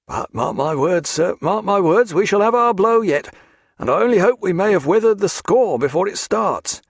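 A man's voice: sits high, animated, moderate pace, no room sound, clean studio sound, voice pushed up. A man voicing a dogged, superstitious sailor in an audiobook, deferential yet gloomy, with emphatic old-fashioned nautical speech. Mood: dogged, foreboding.

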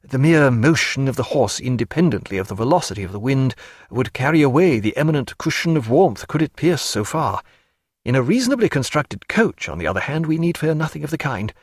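A man voicing a wry, learned gentleman physician who speaks in a measured way. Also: medium pitch, sing-song, no echo, clean studio sound.

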